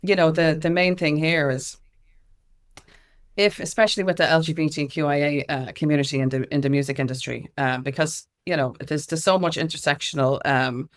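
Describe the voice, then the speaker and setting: A woman's voice: low voice, steady tone, brisk. Candid, emphatic Irish woman in conversation. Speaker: guest, activist and music consultant. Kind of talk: conversational interview answers.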